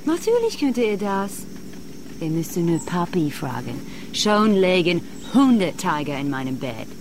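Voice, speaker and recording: Swooping, theatrical celebrity diva. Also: female voice, lowish voice, sing-song, a little slow, background noise. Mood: coy, mocking. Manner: theatrical, mocking. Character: flirtatious, capricious celebrity singer, a teasing society diva. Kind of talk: theatrical radio drama acting.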